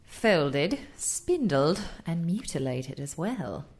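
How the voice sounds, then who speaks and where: A poised lady spy played by a woman in a radio play, arch and curious. Her voice sits low and moves with very animated intonation, always coolly clever. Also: slow pace, exaggerated voice. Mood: cool, observant. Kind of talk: scripted radio theatre acting.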